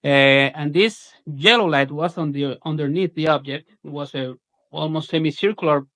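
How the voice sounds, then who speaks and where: Higher-pitched Puerto Rican man recounting incidents. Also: normal speed, pushed and bright, no echo. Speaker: UFO researcher giving a presentation.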